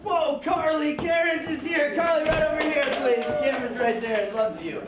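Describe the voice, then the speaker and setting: A man's voice: extremely high pitch, fairly even intonation, moderate pace. Enthusiastic man interviewing in an echoey hall. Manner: enthusiastic, showman-like, calling people over loudly. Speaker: interviewer at a kids' film camp. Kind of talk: upbeat on-camera interviews. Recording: echoey room, far from mic, noisy.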